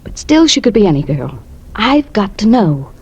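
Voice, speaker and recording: An actress playing a bitter wife plotting murder in a 1950s radio drama, speaking with dramatic, clipped intensity. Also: female voice, medium pitch, very animated, normal speed, darkish tone, slightly rough, muffled recording. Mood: cold, insistent. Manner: dramatic, intense first-person narration and clipped dialogue. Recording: old radio recording with music behind.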